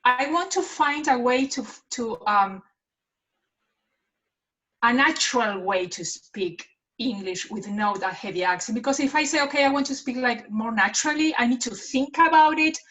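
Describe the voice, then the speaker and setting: A woman's voice: on the high side, lively intonation, fairly bright tone. Hesitant woman with an Argentine Spanish accent. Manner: hesitant, with frequent um pauses. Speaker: actress and workshop participant. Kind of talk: video call introduction. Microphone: video call. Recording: lo-fi video call audio.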